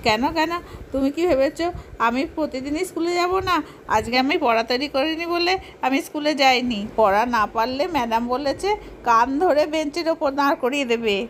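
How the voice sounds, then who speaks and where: A woman's voice: sits high, brisk, slight background noise, natural voice. A woman voicing a whiny, pleading young schoolboy in a doll story. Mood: protesting. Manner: pleading, protesting.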